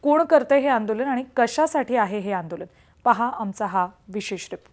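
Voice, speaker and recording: High-voiced woman news anchor. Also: normal speed. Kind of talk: scripted news introduction.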